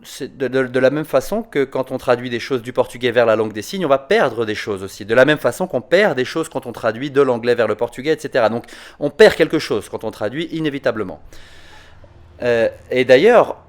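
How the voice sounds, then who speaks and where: Guy explaining stuff at an online conference, stops and restarts a lot, middle pitch. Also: brisk. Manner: hesitant, repeats words, frequent "hein". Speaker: psychoanalyst answering conference questions.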